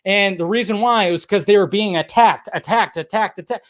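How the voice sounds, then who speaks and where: A man's voice: high-pitched, normal speed. A man hosting a live fan commentary show in an unscripted rant, exasperated and blunt, swearing heavily and repeating phrases for emphasis.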